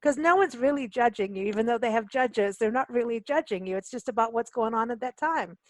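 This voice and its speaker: A woman's voice: a little high, animated, fairly quick, no room sound. A woman from Kenya hosting a casual radio interview, talking in a chatty, informal, joking way. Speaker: radio show host.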